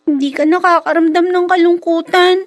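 A woman's voice: very high-pitched, steady tone, normal speed, no room sound, clean studio sound. A woman playing a tender ten-year-old girl devoted to her dog in a Filipino radio drama. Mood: fond, wistful.

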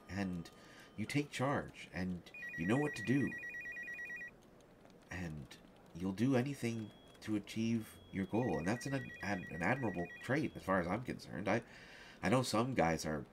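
Man acting a low-voiced, stammering, lovestruck journalist. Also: background noise. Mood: tender, resigned. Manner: stammering, halting, hesitant. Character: flustered, lovestruck young male journalist hiding a secret. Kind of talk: one-sided audio roleplay acting.

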